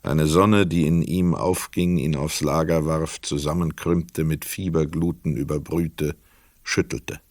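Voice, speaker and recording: A man narrating a literary crime radio play as a sober storyteller, measured, precise and dramatic. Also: extremely low pitch, fairly even intonation, darkish tone, no echo, clean studio sound.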